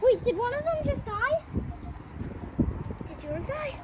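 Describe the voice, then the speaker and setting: A child's very high, swooping voice narrates improvised doll play as host of the video. The recording is very noisy, with clicks and rustling from an outdoor yard. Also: big pitch swings, measured pace. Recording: loud noise with clicks and rustling.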